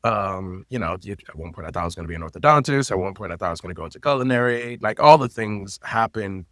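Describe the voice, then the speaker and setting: A man in his late thirties, a native Haitian Creole speaker, telling his story in an interview in an expressive, personal way with loose phrasing. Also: low voice, dry recording, clean studio sound. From Florida, United States. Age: late 30s. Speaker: guest, intimacy coordinator and teacher.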